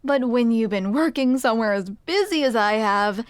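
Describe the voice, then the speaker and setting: Casting: female performer as grumpy female barista for ASMR roleplay, higher-pitched, dry sarcasm, awkward halting delivery, soft-hearted underneath. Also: expressive, dry recording, lightly acted. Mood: apologetic, wry. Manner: sardonic, self-deprecating, awkward, halting. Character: grumpy, flustered tsundere barista woman, secretly sweet.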